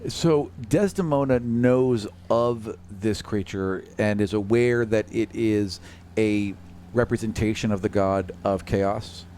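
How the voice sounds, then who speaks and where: Low-voiced man running a tabletop game. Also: fairly even intonation, takes it slowly, few pauses, a little mellow. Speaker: game master. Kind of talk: tabletop role-play narration, conversational. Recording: faint hum, slight background noise.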